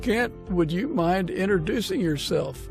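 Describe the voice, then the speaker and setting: A man's voice: sits high, expressive, measured pace, gravelly, over music. An American man hosting a casual podcast interview in an easygoing, reassuring way.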